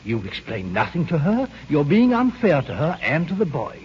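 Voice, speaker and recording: A man's voice: a little high, wildly swooping pitch, normal speed. An actor playing an earnest, kindly old doctor in a radio drama, emphatic and persuasive in his pleading. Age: older. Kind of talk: 1930s-40s radio drama acting. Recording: dull, noisy old radio broadcast.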